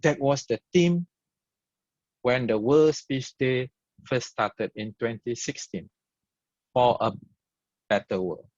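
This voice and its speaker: A man with a Malaysian English accent delivers an opening address in short, emphatic, repeated phrases. He speaks slowly, pausing between each point. The sound is dry and close, with dull, lo-fi livestream quality. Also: medium pitch, a little mellow, no room sound. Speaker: event host and organizer. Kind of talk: opening speech at an online event.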